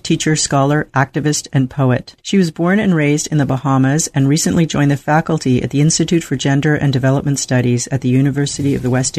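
A woman hosting an interview podcast, reading a measured introduction and asking questions with some hesitation. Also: very deep voice, darkish tone, no echo. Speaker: podcast host.

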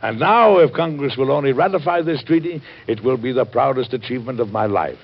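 An actor playing a warm, earnest, wryly amused American president in an emphatic, theatrical 1940s radio style. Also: male voice, medium pitch, lively intonation, a little fast, breathy edge. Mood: proud, eager. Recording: dull vintage radio recording.

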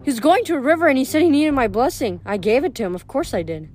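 A woman's voice: high-pitched, animated, quick pace, darkish tone, pitched above the performer's own voice. A woman voicing a frail, addled old mother in a storybook, exclamatory and wandering, with dreamy, doting sweetness. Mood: dreamy, fond.

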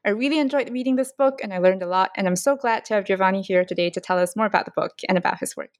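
A woman's voice: medium pitch, no room sound, clean studio sound. A woman hosting an academic book podcast, reading a formal guest introduction and asking questions. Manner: reads a formal introduction quickly. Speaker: podcast host.

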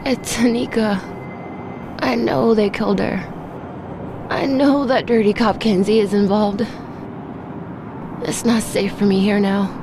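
A woman's voice: medium pitch, steady tone, measured pace, pauses often, over music, natural voice. A woman playing a tough, guarded young cat burglar with a defiant, dramatic delivery in an audio drama. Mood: angry, urgent. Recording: clear noise and ambience behind voice.

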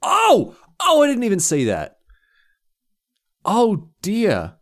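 A man's voice: sits high, wildly swooping pitch, takes it slowly, a bit bright. An Australian man hosting a podcast, telling stories in casual comic chat and repeating phrases for emphasis. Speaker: podcast host.

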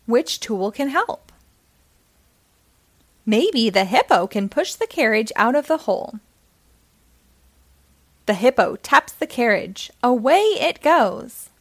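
A woman reading a story aloud to young children in an expressive, deliberate way with simple phrasing. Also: fairly high pitch.

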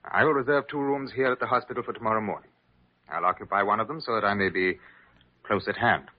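Actor as a grave, secretive, formal gentleman. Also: on the low side, fast talker, lightly acted. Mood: formal, guarded. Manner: formal, precise, insistent. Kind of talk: old-time radio drama acting. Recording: dull vintage radio recording.

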